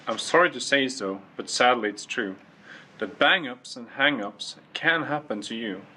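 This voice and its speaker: A man's voice: medium pitch, bright, forceful tone. A man, a teacher, reading a children's rhyming book aloud with faint noise behind him.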